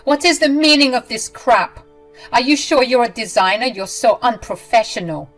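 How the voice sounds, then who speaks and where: Woman putting on a cruel mother-in-law, shrill, loud, sneering, contemptuous, story narration with faint music behind. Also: moderate pace. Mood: yelling.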